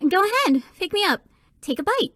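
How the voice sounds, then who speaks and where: A woman's voice: very high-pitched, very animated, fairly quick, a bit bright, no echo, exaggerated voice. A woman voicing a sweet, self-deprecating young woman in a dating game, earnest and playful in delivery. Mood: sheepish, playful. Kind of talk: video game character voice lines.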